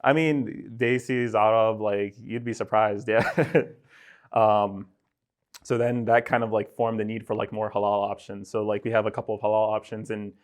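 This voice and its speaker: A young man from Sudan, a podcast guest talking in a relaxed, rambling way and filling his speech with "like" and "right?". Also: lowish voice, moderate pace. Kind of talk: casual conversational interview.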